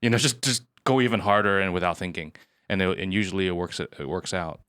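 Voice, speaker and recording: A man with a somewhat low voice chats on a podcast, telling a story with energy. The sound is dry and close. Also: quick pace, fairly bright tone, clear, resonant tone, no echo. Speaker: podcast co-host. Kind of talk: casual podcast discussion.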